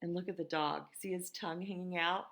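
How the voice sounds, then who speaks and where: American woman with a creaky, lowish voice. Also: fairly quick. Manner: gentle, expressive, asks listeners questions. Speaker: teacher reading a picture book to children. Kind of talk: children's story read-aloud with conversational asides.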